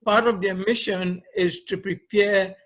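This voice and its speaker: A man's voice: high-pitched, steady tone, a little slow, fairly bright tone. A middle-aged or older man with a Trinidadian accent, speaking conversationally as an interview guest about his own experience. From Trinidad and Tobago. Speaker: podcast guest, leadership trainer. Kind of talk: conversational interview, recounting career.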